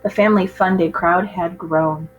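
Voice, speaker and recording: A woman's voice: fairly low pitch, steady tone, normal speed, lo-fi sound. Woman reading a children's story over music. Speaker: teacher reading a picture book aloud. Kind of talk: expressive children's storybook read-aloud.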